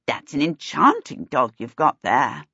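A brisk, no-nonsense middle-aged witch from a storybook, performed by a woman reader. She is dry, severe and impatient, swinging widely in pitch to stress her point. The voice is a little husky, on slightly muffled audio. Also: wildly swooping pitch, sharp tone, dry recording, exaggerated voice. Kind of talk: audiobook character voice.

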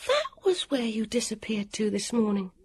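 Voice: medium pitch, huge pitch swings, moderate pace, breathy edge, pitched above the performer's own voice, exaggerated voice. The narrator voicing an uneasy, earnest young girl. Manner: uneasy, a little queasy.

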